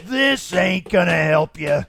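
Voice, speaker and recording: A man voicing a menacing, sneering sand villain in a comic dub, with gravelly, taunting, slangy tough-guy delivery. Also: high-pitched, lively intonation, slow pace, a bit bright, full character voice. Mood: irritated, sneering.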